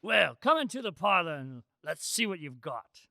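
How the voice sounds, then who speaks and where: A man's voice: high-pitched, very animated, moderate pace, vocal fry, bright, forceful tone, no echo, heavily acted. A man playing a wry, seedy shopkeeper in a radio drama, teasing his customers with easy familiarity. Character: Old Joe, wry, seedy junk-shop keeper.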